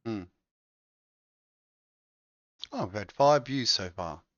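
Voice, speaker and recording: A man's voice: fairly low pitch, lively intonation, moderate pace, no room sound, lo-fi sound. A man co-hosting a casual, absurd chat show, joking dryly with mock-dramatic asides. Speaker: co-host. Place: home setup, streamed show.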